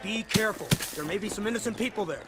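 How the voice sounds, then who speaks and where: An actor playing a brisk lawman in a video game, giving clipped, commanding orders to his men. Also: sits high, over loud music, close to an everyday voice. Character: brisk, commanding male lawman leading armed posse. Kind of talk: dramatic video game acting.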